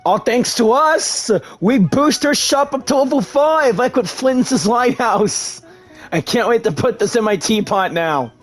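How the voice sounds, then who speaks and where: A man with a high-pitched voice hosts a gaming video. He comments casually and talks back to the characters as if among friends. Faint music plays behind him. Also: animated, unhurried.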